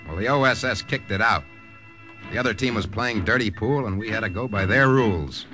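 Man acting wry young corporal telling his war story, lowish voice, old radio show w/ music behind. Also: expressive, fairly quick, lightly acted. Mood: grim, matter-of-fact. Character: wry young army corporal, former professor, narrating his war mission. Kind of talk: 1950s radio drama acting and first-person narration.

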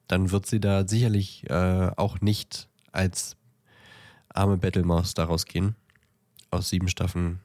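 A man co-hosting a podcast, talking casually and thinking aloud in a halting, deliberative way. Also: sits low, darkish tone, no echo, clean studio sound. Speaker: podcast co-host.

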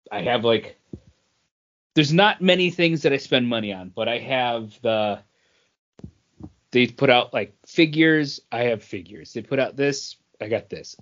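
Man chatting casually on a muffled recording. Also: medium pitch. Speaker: podcast co-host. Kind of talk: casual podcast chat. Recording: dull, band-limited podcast audio.

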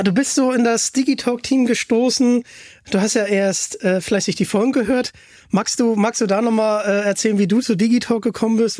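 Male podcast host, notably high voice, casual moderation, close dry recording. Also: darkish tone, no room sound.

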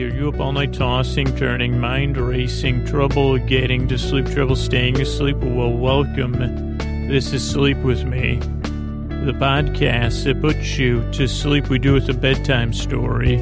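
A man with a creaky voice hosts a sleep podcast, meandering hesitantly through tangents in a bedtime monologue. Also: mid-range voice, dry recording, studio quality. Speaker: podcast host.

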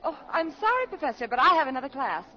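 A sophisticated grown woman playing student in a vintage radio drama. Her fairly high voice swings animatedly, polite and hesitant with a prim, ladylike edge. The broadcast sounds dull and dated. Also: sing-song, quick pace, pushed and bright, exaggerated voice. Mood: polite, flustered. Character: mature, sophisticated, genteel woman starting college, easily flustered. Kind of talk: 1940s radio acting.

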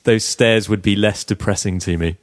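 Croaky man hosting a podcast. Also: fairly low pitch, fairly even intonation, normal speed, dry recording, clean studio sound. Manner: chatty, laughs often, teasing. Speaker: podcast host. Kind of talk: casual podcast banter. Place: flat.

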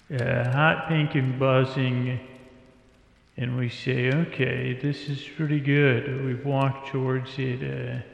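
A man with a creaky, gravelly voice drifts through a made-up bedtime story in an unhurried, soothing way. He stays on a narrow, even pitch and rambles haltingly as he goes. The sound is roomy and reverberant, with faint music behind him. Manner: hesitant, rambling, halting delivery with drawn-out pauses; soothing, calm, drowsy. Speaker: host of a bedtime storytelling podcast. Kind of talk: improvised sleepy bedtime storytelling.

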